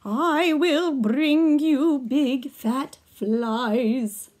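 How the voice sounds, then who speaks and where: A woman voicing a soothing storybook animal mother in a drawn-out, sung lullaby with animal calls. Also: sits high, a little mellow, voice pushed up. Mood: soothing, sing-song.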